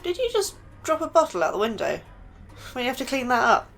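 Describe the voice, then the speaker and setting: A woman's voice: sits high, very animated, fairly quick, vocal fry, a bit bright, faint music behind, exaggerated voice. A woman playing an anxious, inquisitive new scientist in an audio drama, uneasy and questioning. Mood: wary curiosity. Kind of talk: audio fiction podcast acting.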